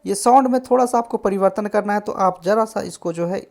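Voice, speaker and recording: A man hosting a casual tutorial on music software, explaining step by step and often adding short check-in tags. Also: high-pitched, fairly quick, vocal fry. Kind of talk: casual screen-recorded tutorial.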